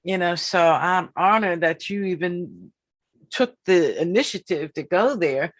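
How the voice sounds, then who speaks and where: A woman in her fifties hosts a casual interview. Her voice sits a little low, and she speaks slowly and emphatically, often repeating a phrase to drive it home. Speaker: podcast host.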